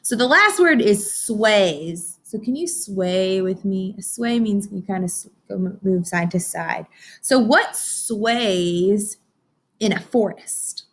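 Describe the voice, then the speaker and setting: A woman's voice: mid-range voice, lively intonation, slow pace. A woman teaching young children in an interactive read-aloud lesson, encouraging and instructional, often putting questions to her listeners.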